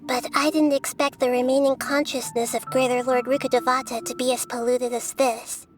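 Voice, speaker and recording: A woman voicing a small, wise, gentle girl deity in a video game, speaking in a measured, thoughtful, formal way. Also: high voice, level tone, over music, close to an everyday voice.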